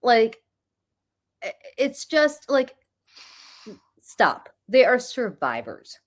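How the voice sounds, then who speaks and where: A woman's voice: on the high side, big pitch swings. Sarcastic, emphatic woman podcast co-host. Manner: emphatic, sarcastic, quick to mock. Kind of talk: casual chat.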